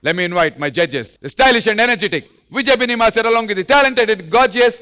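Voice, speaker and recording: A man's voice: high voice, lively intonation, slow pace, sharp tone, clean tone. A man hosting a dance reality show, introducing judges and contestants with showy energy, mixing English and Telugu. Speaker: host. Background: show music.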